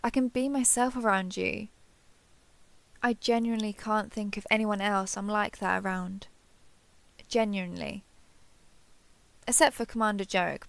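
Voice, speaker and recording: A woman playing an earnest, affectionate starship captain in an intimate sci-fi audio roleplay, speaking haltingly and confessionally. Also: medium pitch, gravelly, smooth, dry recording. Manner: hesitant, halting, apologetic, confessional.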